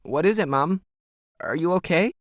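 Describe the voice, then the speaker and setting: A man's voice: on the high side, normal speed, no room sound, clean studio sound, pitched above the performer's own voice, close to an everyday voice. A man voicing an earnest, upset teenage daughter in an audio story, pleading and tearful. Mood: worried. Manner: tearful, protesting. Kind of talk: audio fiction character dialogue.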